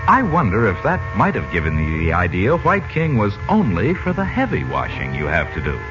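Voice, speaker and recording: A man's voice: lowish voice, wildly swooping pitch, normal speed. A man announcing on 1940s radio, selling in a folksy, conversational way with dramatic emphasis. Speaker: radio announcer reading sponsor message. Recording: old 1940s radio broadcast, muffled. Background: music.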